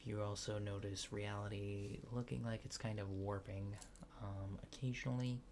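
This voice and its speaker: A man speaking softly in an ASMR exam roleplay, murmuring hesitant short instructions and sometimes dropping into a near-whisper. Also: lowish voice, little pitch movement, normal speed, a little hiss or hum. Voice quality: soft, hushed, breathy near-whisper at times. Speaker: ASMR performer playing an examiner.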